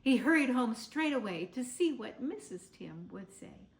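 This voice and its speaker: Female storybook reader: expressive, measured, high-pitched, with roomy sound. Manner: theatrical, measured. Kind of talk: expressive children's read-aloud.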